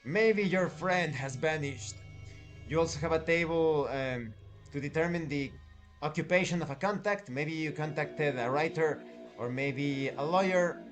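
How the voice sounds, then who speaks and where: Higher-pitched man reviewing, faint music behind. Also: a little slow. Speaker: tabletop game reviewer and channel host. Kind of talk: review commentary to viewers.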